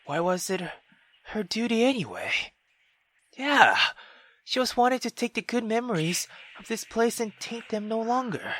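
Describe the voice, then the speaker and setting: A man reading a visual novel aloud theatrically as a gaming YouTuber, with excitable, sweary commentary. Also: very high voice, moderate pace.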